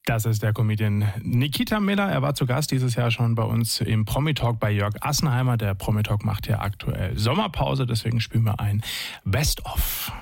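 A man's voice: medium pitch, normal speed, gravelly, darkish tone, no echo, clean studio sound. A man presenting scripted announcements as a broadcast announcer. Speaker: radio announcer.

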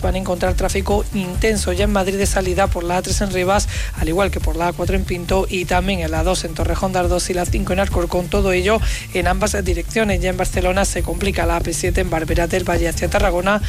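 Flat high male traffic reporter over music. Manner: rapid listing of road incidents. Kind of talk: radio traffic bulletin. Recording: music bed underneath.

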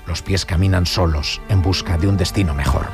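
A man reading a literary passage aloud as a grave narrator of an exile story, slow and solemn. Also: low voice, voice pushed down, close to an everyday voice. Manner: slow, solemn recitation over music. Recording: reading over music.

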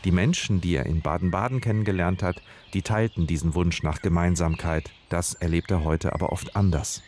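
A male radio reporter narrates his reportage in a calm, scripted storytelling way. His voice sits low, with a faint ambient bed beneath. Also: fairly quick, a little hiss or hum.

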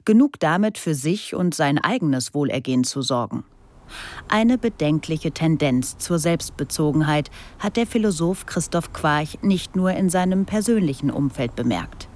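A woman's voice: low-pitched, lively intonation, normal speed, darkish tone. A woman delivering composed, scripted narration for a radio feature.

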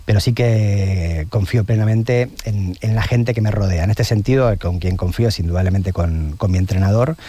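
Argentine man, 50s, low mellow voice, dry close sound, measured and reflective interview guest. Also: breathy edge, no echo. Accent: Argentine Spanish. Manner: thoughtful, measured, ends points with "¿no?". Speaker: guest, long-distance swimmer.

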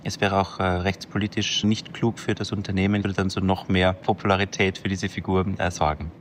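Male expert, lowish voice, faint room ambience, lots of "um"s. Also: level tone, fairly quick, a little hiss or hum. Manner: explanatory, with frequent hesitations. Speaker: media researcher. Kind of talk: interview answer.

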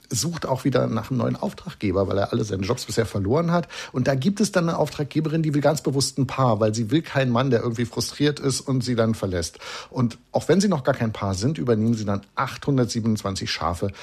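A man reviewing films in a radio conversation, retelling plots in a lively way with many fillers. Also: medium pitch, breathy edge. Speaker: film critic.

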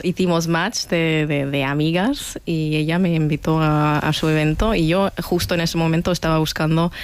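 Creaky-voiced woman chatting as a guest. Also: low-pitched. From half German, half Vietnamese. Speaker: interview guest, community event organiser. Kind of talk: casual chat.

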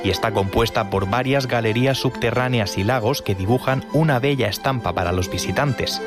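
A man reporting in a scripted news voice-over, reading in an even, measured way. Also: mid-range voice. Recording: voice-over with loud music underneath.

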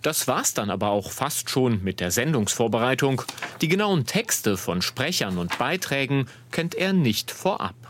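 A man's voice: medium pitch, very animated, normal speed, fairly bright tone, a little hiss or hum. A man with a standard German accent narrating a scripted TV report.

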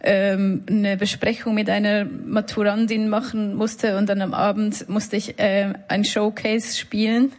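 A woman's voice: medium pitch, little pitch movement, unhurried, hardly any pauses, a little mellow. Swiss German woman, reflective and self-deprecating. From Switzerland. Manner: reflective, self-deprecating, laughs between words. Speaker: musician guest. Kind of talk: casual interview talk. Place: radio studio.

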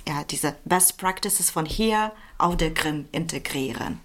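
Slow-speaking woman. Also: low-pitched, a bit bright. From Crimea. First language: Russian. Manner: thoughtful, hesitant, non-native grammar. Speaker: interviewee. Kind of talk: interview answer.

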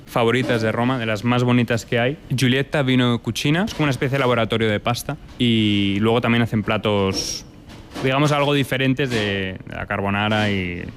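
A man from Italy and Spain who runs a restaurant, answering interview questions in a lively way and dropping in Italian food terms. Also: mid-range voice, fairly quick, a little hiss or hum. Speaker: restaurant owner.

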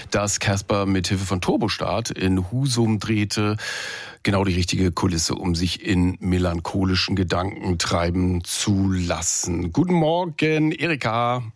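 A male radio host presenting songs and chatting with callers in an upbeat, easy way. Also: fairly low pitch. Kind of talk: radio music show presenting. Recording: dry studio mic with faint music.

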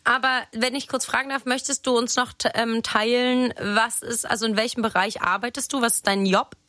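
A woman's voice: on the high side, steady tone, a little fast, pushed and bright, dry recording. A woman hosting a call-in show, chatting in a slangy, upbeat way. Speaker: host. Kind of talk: casual radio-style chat.

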